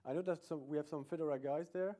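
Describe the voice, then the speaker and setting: A middle-aged man with a Belgian accent gives a relaxed technical talk in a lecture room. His voice sits a little high for a man. He jokes at his own expense and often breaks off and restarts his sentences. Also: quick pace. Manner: chatty, self-deprecating, many restarts. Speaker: conference speaker.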